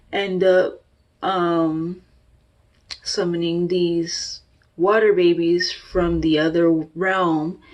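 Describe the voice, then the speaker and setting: A woman recommending a book on her channel, talking in a hesitant, halting way. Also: fairly low pitch, highs cut off. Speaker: book reviewer. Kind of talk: booktube recommendation.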